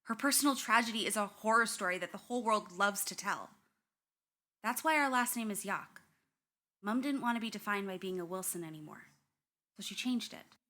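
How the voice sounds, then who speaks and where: Actress as young woman narrator, bright forceful voice, medium pitch, reflective, wry, radio play. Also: brisk, close to an everyday voice. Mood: reflective, sombre. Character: candid young woman haunted by family tragedy. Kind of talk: first-person scripted narration.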